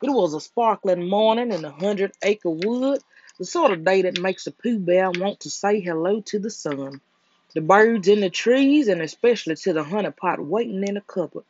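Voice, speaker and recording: A storyteller reading a children's book aloud for bedtime in a soothing, deliberate way. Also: medium pitch, expressive, darkish tone, muffled recording, a little hiss or hum.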